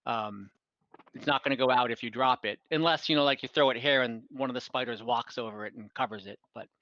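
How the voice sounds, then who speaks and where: A man acting as game master in a casual online tabletop game, explaining rules in an easygoing, halting way. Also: medium pitch, a bit bright, dry recording, dull audio.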